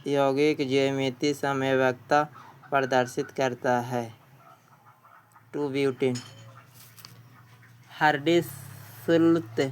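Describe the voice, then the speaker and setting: A male teacher works through exam practice questions and their answers, often saying a question twice. His delivery is slow and quite monotone, at a middling pitch. Some noise sits behind the voice. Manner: repeats questions before answering. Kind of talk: exam quiz lesson, reading questions and answers.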